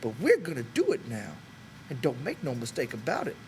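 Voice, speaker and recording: A man's voice: mid-range voice, huge pitch swings, fairly quick, darkish tone, slight background noise, voice pushed up, low-quality recording. A man voicing an old, wistful and stubborn American ranch hand with earnest, folksy talk, for an audiobook. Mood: earnest, dreamy. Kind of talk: dramatic audiobook character voice.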